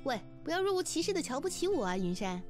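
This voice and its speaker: Visual novel heroine: cheerful, caring young woman, quick and high-pitched, expressive delivery. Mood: mock indignant. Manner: lively, expressive. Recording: music behind the voice.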